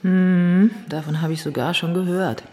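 An actress playing an unflappable, matter-of-fact mastermind of robbers, laconic and cool, in a radio drama. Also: female voice, on the low side, steady tone, unhurried, warm tone. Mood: dry.

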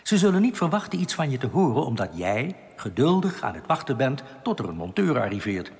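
An actor playing a male alien outcast leader, reassuring, earnest and quietly bitter, explaining things deliberately in a radio drama. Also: medium pitch, very animated, creaky. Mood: calm, reassuring. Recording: long cavernous reverb.

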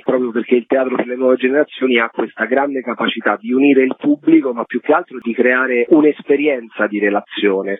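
Husky man explaining over a dry phone line. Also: mid-range voice, no room sound. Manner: fluent, long explanatory sentences. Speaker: theatre festival curator.

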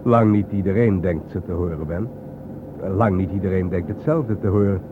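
Man acting calm mysterious guide type in an old radio play, low voice, really noisy thin recording. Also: narrow, tinny audio, close to an everyday voice. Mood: earnest explaining. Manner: measured, enigmatic. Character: calm, cryptic male guide named Hein, explaining mysteries.

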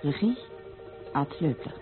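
A woman's voice: sits low, animated, unhurried. A woman announcer formally reading the scripted credits of a radio play. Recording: tinny narrow-band old radio recording. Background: very loud music.

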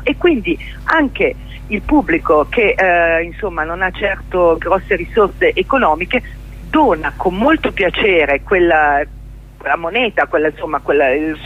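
A middle-aged woman with a husky voice gives a radio interview over a dull, lo-fi link. She explains things reflectively, pausing to search for words and restating phrases for emphasis. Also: on the low side. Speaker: interview guest, theatre journalist and performer.

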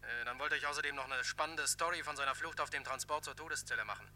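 An actor playing a brash, sardonic reporter hungry for sensation in a vintage German radio crime play. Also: male voice, mid-range voice, quick pace, bright, forceful tone, a little hiss or hum. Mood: mock indignant. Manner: sardonic, hard-boiled.

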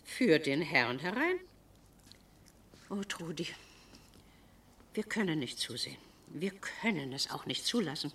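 Imperious, theatrical elderly schoolmistress, lively pitch. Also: female voice, unhurried, pauses often, recorded from a distance, a little hiss or hum, full character voice. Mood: indignant, imperious. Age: older. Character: domineering, bitter elderly retired schoolteacher sister, disdainful of men. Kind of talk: German radio drama acting.

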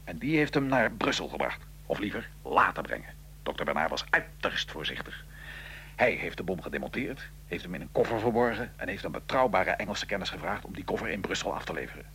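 A man's voice: low voice, big pitch swings, sharp tone, slight background noise, exaggerated voice. An actor playing a proud Italian revolutionary assassin of the nineteenth century, recounting his plot in a theatrical, emphatic radio-drama manner. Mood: confident recounting. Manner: theatrical, emphatic, measured storytelling. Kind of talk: radio drama acting, interview-like dialogue.

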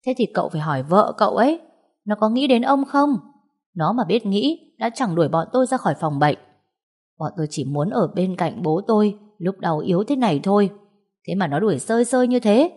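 A woman voicing a rude, grasping middle-aged aunt who wails and scolds loudly in an audio story. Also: medium pitch, lively intonation, fairly quick. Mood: scornful. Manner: wailing, scolding, loud.

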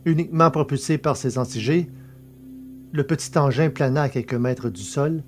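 A male narrator reads a scripted story in a mid-pitched voice. He sounds subdued, with a mild sore throat, and faint music runs underneath. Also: moderate pace. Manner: subdued, low energy. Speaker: narrator and podcast presenter.

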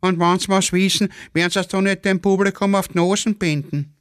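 Man doing a high-pitched evasive Viennese railway porter. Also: steady tone, normal speed, clear, resonant tone, no room sound, studio quality, close to an everyday voice. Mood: shrugging. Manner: grumbling, long-winded dialect excuses.

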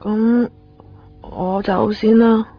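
A slightly dull nineties radio drama recording with faint music behind. a wistful young Hong Kong Cantonese cleaning girl, timid and apologetic, in a fairly high voice. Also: steady tone, extremely slow, exaggerated voice. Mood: wistful, sad.

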